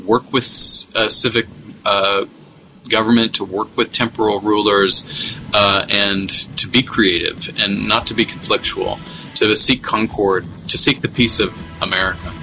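A man's voice: on the low side, pushed and bright, slight background noise, rough recording. A man speaking as a guest in a radio interview, with many restarts and fillers. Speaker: guest commentator.

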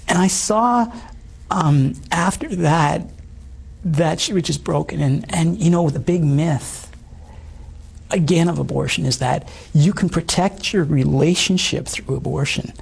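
A middle-aged man with a hoarse voice, giving an earnest, halting personal testimony as an interview guest. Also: a little high. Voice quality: hoarse voice from old vocal damage. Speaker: interview guest, former stage singer.

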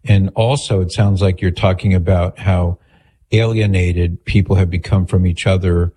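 A male radio host with a low, husky voice. He speaks calmly and attentively, summing up what the caller means before giving his own view at length. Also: normal speed. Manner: calm, attentive, restates the caller's points. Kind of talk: call-in radio talk.

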